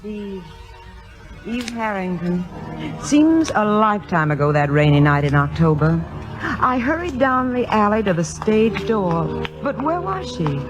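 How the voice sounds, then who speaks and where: A spirited wife of a playwright, earnest and articulate. Her medium-pitched voice moves widely up and down in classic 1950s radio drama fashion. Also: big pitch swings, measured pace, lo-fi sound, over music. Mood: reflective. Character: warm, spirited playwright's wife, narrating and chiding.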